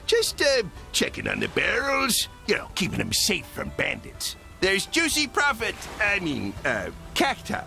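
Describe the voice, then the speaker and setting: A man's voice: high-pitched, wildly swooping pitch, unhurried, creaky, few pauses, bright, forceful tone, soft background music, heavily acted. A man playing a greedy, shifty frontier swindler with a folksy western drawl in a cartoon game. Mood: sly, bossy. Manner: folksy Wild West drawl, sly slips.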